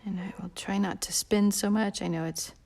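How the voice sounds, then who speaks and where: A woman leading a live drawing tutorial in a relaxed, rambling way with many fillers, sometimes dropping to a soft near-whisper as she works. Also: mid-range voice, moderate pace, creaky. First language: not Portuguese. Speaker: drawing instructor.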